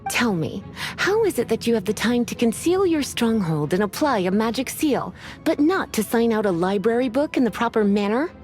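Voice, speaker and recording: A languid, teasing librarian with a lilting fairly high voice, soft game music under it. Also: female voice, very animated, normal speed. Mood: stern. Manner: languid, teasing, gently threatening. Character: sultry witchy librarian woman, teasing and unhurried. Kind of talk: video game voice acting.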